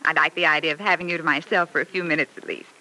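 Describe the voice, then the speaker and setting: A woman's voice: low voice, steady tone, racing, pushed and bright. A woman playing a gushing, sweetly catty mother in an old radio soap, effusive and theatrical with a cooing sweetness. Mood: doting. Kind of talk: old-time radio soap opera acting. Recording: noisy vintage radio recording.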